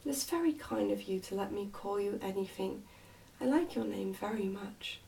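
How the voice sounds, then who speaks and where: Woman voicing a frank young miner boy, mellow. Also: mid-range voice, normal speed, vocal fry, far from the mic, slight background noise, close to an everyday voice. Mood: friendly. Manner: frank, matter-of-fact. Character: plain-spoken, confident, helpful young miner boy.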